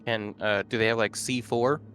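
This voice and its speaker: A man's voice: fairly low pitch, steady tone, normal speed, a bit bright. A man chatting casually as a player in a tabletop game. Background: background music.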